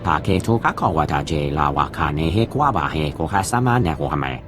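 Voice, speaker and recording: A man's voice: very deep voice, animated, brisk. A man voicing a big, solemn alien warrior who speaks in invented syllables, in a video game. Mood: commanding. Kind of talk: video game cutscene voice acting. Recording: clear music behind the voice.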